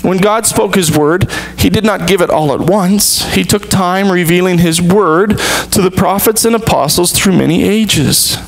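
A man in his thirties, a pastor, preaching an earnest sermon with measured, deliberate pauses from a church stage. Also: high-pitched, vocal fry, low-quality recording.

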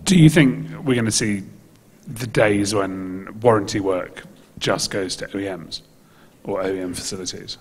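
A man's voice: on the low side, normal speed. A man moderating a conference panel, asking questions with a dry, joking touch into a handheld mic. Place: large conference hall stage. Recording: long hall reverb.

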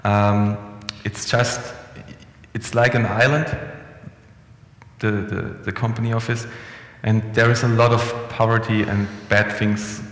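A young man giving a relaxed, conversational conference talk with frequent restarts and fillers, speaking into a headset mic in a conference room. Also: lowish voice, a little slow. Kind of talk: conference presentation. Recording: echoey hall with long reverb.

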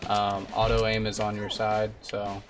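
A young man with a low voice talks through a video game in a casual, informal way. He explains his play in a laid-back manner, over loud game music. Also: completely flat delivery, unhurried, far from the mic. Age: young adult. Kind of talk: casual gameplay commentary. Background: loud game music and gunfire.

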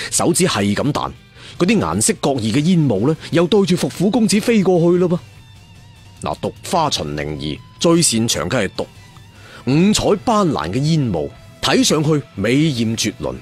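A man telling a martial arts story in an animated, conversational, dramatic way. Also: mid-range voice, normal speed, breathy edge, soft background music. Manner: animated, conversational, with spoken sound effects.

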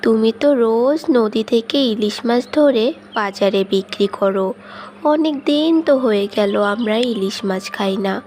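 A woman's voice: on the high side, normal speed, a little mellow, a little hiss or hum, close to an everyday voice. A woman voicing a sweet, fretful young village daughter in a fairy-tale cartoon, childlike and pleading.

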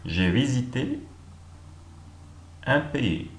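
A man teaching language, reading each short phrase with clear, deliberate pronunciation and repeating it several times. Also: medium pitch, very animated. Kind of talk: slow repeated phrase drills. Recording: echoey room with faint noise.

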